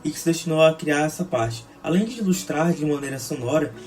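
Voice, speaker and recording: A young man with a Brazilian Portuguese accent gives an academic slide presentation as a student film director, in a halting, hesitant manner. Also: a little high, darkish tone, faint music behind. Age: young adult. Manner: halting, with frequent hesitations and restarts. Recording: clear background noise.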